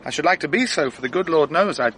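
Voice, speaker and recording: An actor playing an earnest, dutiful French police sergeant in a radio drama, speaking formally as if giving a step-by-step report. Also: male voice, on the high side, lively intonation, fast talker, a bit bright, a little hiss or hum. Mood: humble, wounded.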